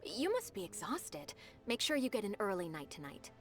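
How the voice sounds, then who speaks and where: Woman acting courteous game attendant, medium pitch, considerate, orderly, light music behind. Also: big pitch swings, moderate pace, natural voice. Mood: polite, caring. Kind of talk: scripted video game voice acting.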